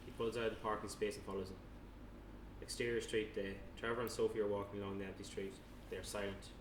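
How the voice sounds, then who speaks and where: A man's voice: fairly low pitch, flat delivery, fast. A man reading screenplay directions aloud as narrator, plain and matter-of-fact, with the odd stumble. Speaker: narrator reading stage directions at a table read. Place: indoor room, table read. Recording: echoey room, distant mic, noisy background.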